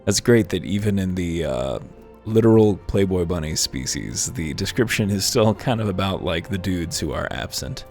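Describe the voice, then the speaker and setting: A man's voice: deep voice, animated, moderate pace, hardly any pauses, a little mellow, soft background music. A man hosting a let's-play, reading game lore aloud and breaking off for dry, wry asides.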